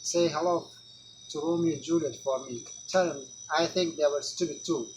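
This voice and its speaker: A man performing a dramatic monologue as a grieving teenage boy who writes a farewell letter to a dead friend, speaking haltingly and repeating words. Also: a little high, darkish tone, a little hiss or hum, lightly acted, low-quality recording.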